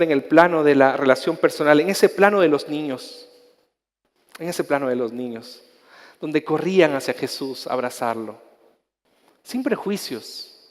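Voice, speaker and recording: A male pastor with a Chilean accent, his voice sitting rather high, preaching with fervor and restarting phrases. The sound rings with a long reverb, as in a large church hall. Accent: Chilean Spanish. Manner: impassioned preaching with repetitions and restarts; soft, intimate prayer. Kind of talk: sermon ending in prayer.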